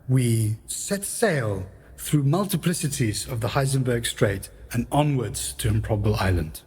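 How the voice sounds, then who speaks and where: Mid-pitched male radio-play narrator. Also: lively intonation, unhurried, darkish tone, breathy edge.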